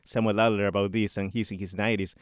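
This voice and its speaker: A man's voice: fairly low pitch, flat delivery, fast, no echo, studio quality. A middle-aged man from Rico, a composer and church organist talking in a radio interview, hesitant and full of restarts and repeated words. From Puerto Rico.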